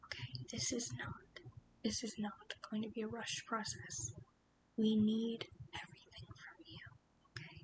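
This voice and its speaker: A woman voicing a calm, patient female police detective, whispering softly and asking careful, soothing questions in an ASMR roleplay. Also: measured pace, natural voice, rough recording. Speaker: interrogator. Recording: steady low rumbling noise.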